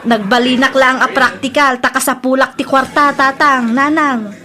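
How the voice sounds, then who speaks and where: A woman playing a brash young bar hostess in an Ilocano audio drama, with a distinctly high voice. She speaks with an Ilocano Filipino accent and a defiant manner. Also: fairly even intonation, moderate pace, crowd noise, close to an everyday voice. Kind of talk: radio-style audio drama acting.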